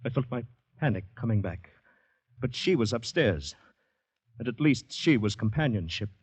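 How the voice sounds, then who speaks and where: An actor playing a distraught man haunted by a murder, telling it haltingly in dramatic 1940s radio suspense style. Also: medium pitch, expressive, husky, dry recording. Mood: tense, haunted. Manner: halting, dramatic first-person narration. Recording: 1940s radio broadcast, faint music behind.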